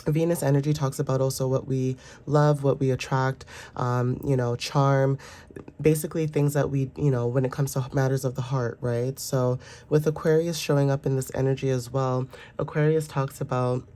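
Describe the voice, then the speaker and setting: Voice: medium pitch, level tone, moderate pace, warm tone. A tarot reader with a sinus infection, congested and stuffy, giving a casual, rambling, reassuring reading full of fillers. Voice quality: stuffy, nasal.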